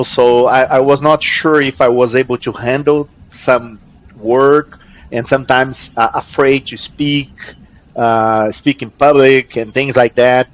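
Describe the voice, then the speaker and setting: A man's voice: medium pitch, highs cut off. A man from Brazil with a Brazilian accent and Portuguese as his first language, answering casually in an interview, repeating words as he searches for them. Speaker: interview guest, jiu-jitsu athlete.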